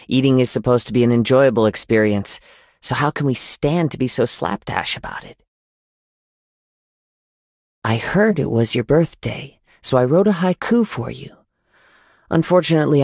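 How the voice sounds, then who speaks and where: A young man playing a gentle, poetic wandering samurai in a video game, soft-spoken and reflective, with formal, measured phrasing. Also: mid-range voice, vocal fry, no echo, studio quality. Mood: earnest, then warm, shy. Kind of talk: video game character voice lines.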